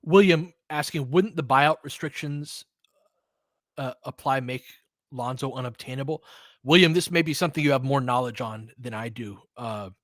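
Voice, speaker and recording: A man's voice: medium pitch, normal speed, a bit bright, dry recording, studio quality. A man doing casual, informal live basketball play-by-play in clipped phrases, chatting with listeners as he goes. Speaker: podcast host doing live play-by-play commentary. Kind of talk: casual live basketball commentary with chat replies.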